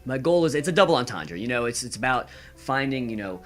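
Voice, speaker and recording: A young man answering casually as a podcast guest, earnest and halting, repeating words. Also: mid-range voice, sing-song, a bit bright, faint music behind. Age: young adult.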